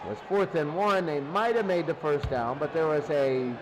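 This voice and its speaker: High-voiced man calling football over noise. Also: moderate pace. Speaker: play-by-play sports commentator. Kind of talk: American football radio-style play-by-play commentary.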